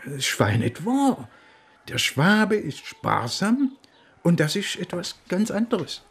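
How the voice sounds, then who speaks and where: A man playing a grumbling police commissioner with a husky, gravelly voice in a German radio drama. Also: high-pitched, big pitch swings, slow, fairly bright tone, full character voice. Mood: indignant.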